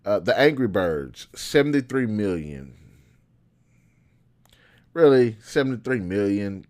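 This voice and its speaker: A man's voice: fairly low pitch, sing-song, slow pace, a little mellow. A man hosting a show, giving casual, opinionated commentary in slangy, informal speech as he thinks aloud. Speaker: host of a show on video game movies.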